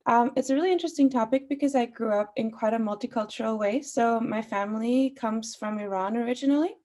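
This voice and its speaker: A woman's voice: on the high side, fast, warm tone. A young woman, a musician who grew up speaking Farsi, sharing her views on a panel in a thoughtful way with many fillers. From Austria. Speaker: guest artist. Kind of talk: panel discussion.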